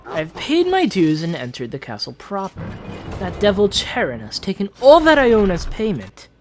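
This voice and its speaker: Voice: medium pitch, close to an everyday voice. A performer voicing a proud, entitled eldest prince, reading fantasy journal entries in a formal, theatrical manner. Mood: boastful, determined. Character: Prince Johannes, proud, haughty, entitled eldest royal son on a quest. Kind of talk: dramatic fantasy journal narration, fan dub.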